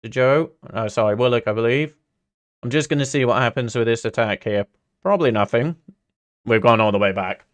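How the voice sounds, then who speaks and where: Clear-voiced man chatting through a football livestream. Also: mid-range voice, a little fast. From Singapore. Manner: rambling, chatty, jumps between anecdote and play-by-play. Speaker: football livestream host, Newcastle fan. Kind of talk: casual match watch-along commentary, chatting with viewers.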